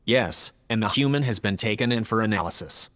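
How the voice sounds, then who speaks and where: Man acting a lowish, formal male AI in reverb. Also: lively intonation, a bit bright. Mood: earnest, matter-of-fact. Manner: measured, factual, formal. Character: male artificial intelligence, logical, earnest, troubled by humans. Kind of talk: scripted audio drama dialogue.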